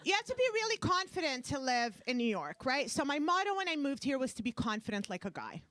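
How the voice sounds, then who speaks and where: A woman's voice: high-pitched, big pitch swings, fast, fairly bright tone. Brash Canadian woman doing stand-up. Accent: Brooklyn-sounding English. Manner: brash, self-mocking punchlines to a laughing crowd. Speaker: stand-up comedian. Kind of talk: stand-up comedy set. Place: club stage before a live audience.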